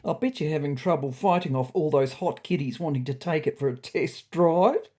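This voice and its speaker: A woman's voice: low-pitched, normal speed, mellow. A woman voicing a cheeky, greedy male cat in a comic story podcast, blunt, nosy and teasing.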